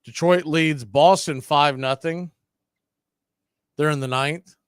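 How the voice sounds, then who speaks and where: Man, radio play-by-play, chatty storyteller, higher voice, dry sound. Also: takes it slowly, clean tone, dry recording. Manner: chatty, tells side stories and laughs at them. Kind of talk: live baseball play-by-play with casual chat.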